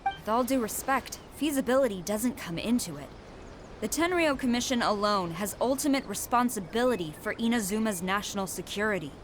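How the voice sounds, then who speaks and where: A woman's voice: medium pitch, animated, fairly quick, slight background noise, natural voice. A woman voicing a stern female commander in a video game, firm and formal.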